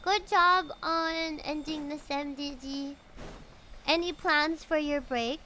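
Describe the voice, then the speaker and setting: A woman's voice: high-pitched, fairly even intonation, slow, bright, forceful tone, slight background noise. A young woman with a Filipino English accent chatting casually with viewers while playing a game, greeting chat and swearing playfully. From Philippines. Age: young adult. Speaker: streamer.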